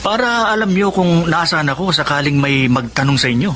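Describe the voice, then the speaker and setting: A male actor plays an optimistic young man full of big dreams. His voice sits high and sounds boyish and animated, in radio drama style, with clear noise around it. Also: a little fast, close to an everyday voice. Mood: earnest, respectful. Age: young adult.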